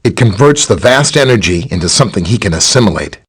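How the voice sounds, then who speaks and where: An actor playing a helpful, earnest scientist superhero in a video game, explaining technical matters in a friendly way. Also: male voice, on the low side, animated, quick pace, breathy edge. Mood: explanatory. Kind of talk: scripted game dialogue, explaining and briefing.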